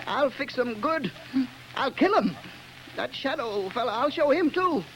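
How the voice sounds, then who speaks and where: A man's voice: very high voice, animated, unhurried, a bit bright, slight background noise, full character voice, rough recording. An actor playing an unhinged, giggling male killer who stammers and talks in crude grammar, in a 1930s radio drama. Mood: menacing.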